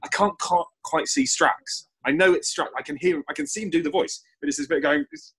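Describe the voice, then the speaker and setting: Fast-talking, slightly husky man chatting. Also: a little high, big pitch swings, a bit bright. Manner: rambling, repeats and restarts phrases. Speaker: audio drama writer. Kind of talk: casual panel chat. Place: remote online call.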